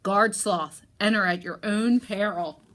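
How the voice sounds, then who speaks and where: A woman's voice: medium pitch, fairly even intonation, measured pace, fairly bright tone, lightly acted. Storybook role: earnest child pet trainer played by a woman, eager showman, emphatic and commanding. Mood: proclaiming.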